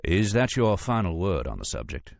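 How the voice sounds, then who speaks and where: A man's voice: deep voice, very animated, moderate pace, vocal fry, dry recording, exaggerated voice. A man voicing a courteous, self-assured FBI agent in an audiobook, with a creamy drawl and formal, precise, drily witty delivery. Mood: quietly insistent. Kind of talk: audiobook character dialogue.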